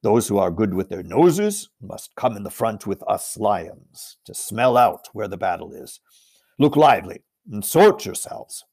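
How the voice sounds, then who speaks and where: A man voicing a noble, commanding male lion who speaks slowly and with authority. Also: on the low side, very animated, vocal fry, a little mellow, husky, full character voice.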